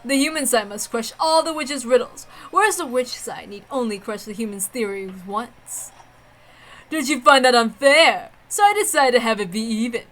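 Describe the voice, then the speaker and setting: A woman's voice: fairly high pitch, lively intonation, moderate pace, fairly bright tone, faint music behind. A woman playing a proud, composed female witch who duels with formal, taunting self-assurance in a dramatic visual novel reading. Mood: composed challenge.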